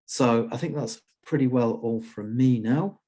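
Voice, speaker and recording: A man hosting an online user group meetup over a video call, giving casual, hesitant announcements with plenty of pauses and restarts. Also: mid-range voice, dark tone. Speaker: user group organiser and host.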